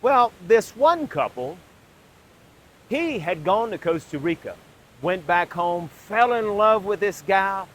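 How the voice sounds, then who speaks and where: A man presenting a video as host, in a scripted, emphatic style with deliberate pauses. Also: high voice, expressive, fairly bright tone, rough recording.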